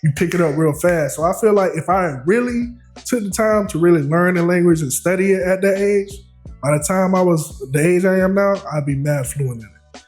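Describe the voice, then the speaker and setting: A young man with a notably high voice chats easily as a podcast guest, loose and slangy, breaking into laughter now and then. Faint music sits behind him. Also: normal speed. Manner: laughs often, relaxed slangy talk. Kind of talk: casual podcast conversation.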